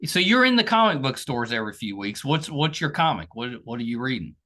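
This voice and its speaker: A man in middle age hosts a casual podcast chat. His voice is bright and forward, and his pitch moves around a lot. He is agreeable, often offering short affirmations. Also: big pitch swings, a little fast. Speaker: podcast host.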